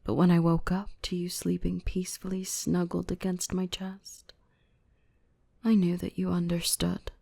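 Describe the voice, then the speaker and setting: Woman acting a slow, whispery, gentle dark elf. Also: on the low side, flat delivery. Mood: affectionate, intimate. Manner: soothing, slow, tender, breathy whispers. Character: gentle, protective adult female dark elf, tenderly possessive. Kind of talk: ASMR roleplay, intimate soft speech and whispering.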